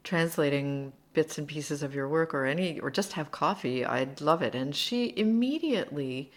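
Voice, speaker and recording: A woman translator, speaking as an interview guest and telling animated, self-deprecating stories about learning languages, laughing easily. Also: low-pitched, moderate pace. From United States. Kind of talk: conversational storytelling.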